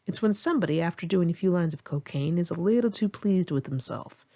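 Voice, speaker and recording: A woman's voice: lowish voice, animated, brisk, mellow, dull audio. Wry woman reading her own memoir. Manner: candid, swearing, wry storytelling. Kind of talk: one-take memoir audiobook reading. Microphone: home microphone.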